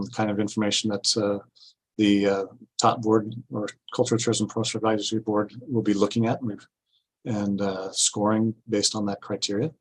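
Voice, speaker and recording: Low, flat-toned man presenting. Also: breathy edge, rough recording. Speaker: city staff presenter at a grant orientation. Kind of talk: informational public meeting presentation.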